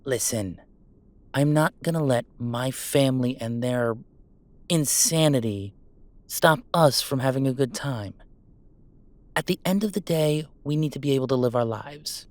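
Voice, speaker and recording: A man's voice: medium pitch, unhurried, dry recording, close to an everyday voice. A man playing a chatty, affectionate, well-off gamer in a roleplay audio drama, talking casually and reassuringly in a rambling, wry way. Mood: upbeat, affectionate. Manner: casual, rambling, self-interrupting, wryly reassuring. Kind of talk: intimate roleplay audio acting, one-sided conversation.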